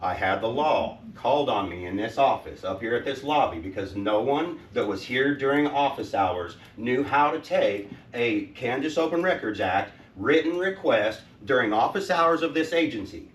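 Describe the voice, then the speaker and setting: A man with a loud voice questions the school board at a public meeting, insistent and trying to keep himself calm. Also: medium pitch, moderate pace, hardly any pauses. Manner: loud and insistent, says he is trying to speak softly. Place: school district office lobby at a public meeting. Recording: roomy echo, distant mic, faint background noise.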